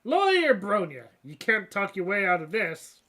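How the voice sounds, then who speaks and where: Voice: mid-range voice, sing-song, normal speed, bright, forceful tone. A reader doing a pushy young detective woman. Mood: accusing. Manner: accusing, pressing questions. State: stuffed-up nose. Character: pushy young female detective interrogating a suspect.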